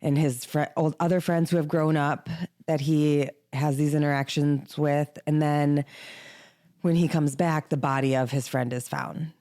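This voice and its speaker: A woman with a low-pitched voice co-hosts a book podcast. She talks casually and chattily, in loose, informal phrasing, as in a relaxed conversation. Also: measured pace. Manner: chatty, informal, frequent "like" as filler. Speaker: podcast co-host.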